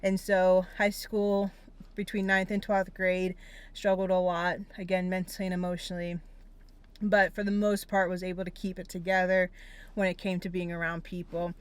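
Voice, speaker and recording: A woman's voice: fairly low pitch, level tone, normal speed, clean tone. A young American woman speaking earnestly and reflectively, sharing her personal story in a vlog-style monologue. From Pennsylvania, United States. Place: inside a parked car on a rainy day.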